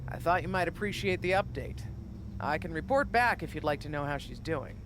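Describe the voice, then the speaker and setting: A woman's voice: deep voice, lively intonation, a little fast. A woman playing a veteran wrestling legend in a video game, pointed, sardonic and reproachful in manner. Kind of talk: video game cutscene dialogue. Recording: game audio with clear background noise.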